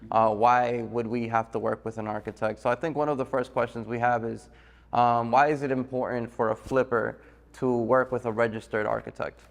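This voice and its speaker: A man with a medium-pitched voice presents as the host of a course interview. He talks conversationally as he introduces and questions a guest. His voice rings with a long, hall-like reverb. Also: little pitch movement, moderate pace, vocal fry.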